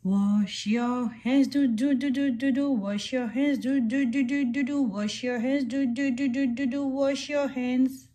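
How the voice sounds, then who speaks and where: A woman with a high, mellow voice reads a picture book for young children. Her delivery is a rhythmic, repetitive sing-song, closer to chanting than to plain reading. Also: steady tone, a little slow, hardly any pauses. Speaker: children's book read-aloud narrator.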